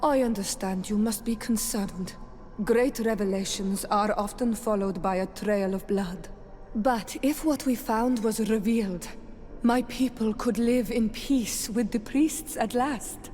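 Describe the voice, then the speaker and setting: A woman acting a solemn islander companion in a fantasy game. Her voice is dark and mellow at medium pitch, trailing a long reverb. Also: measured pace, slight background noise, natural voice. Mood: solemn, hopeful. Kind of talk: scripted fantasy game dialogue. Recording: long reverb, faint background ambience.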